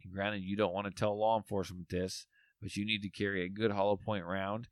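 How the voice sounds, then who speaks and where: A man co-hosting a casual podcast, tired, with a voice going a bit raspy, rambling with lots of fillers. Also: lowish voice, level tone, fairly quick, no room sound. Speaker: podcast co-host.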